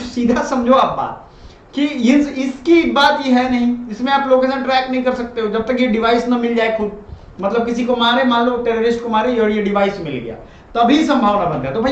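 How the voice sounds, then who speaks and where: A man lectures informally, like a teacher explaining the news. His delivery is colloquial, with frequent checks that listeners follow. The recording is dull and echoey, with clear background noise. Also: very high-pitched. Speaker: teacher explaining current affairs.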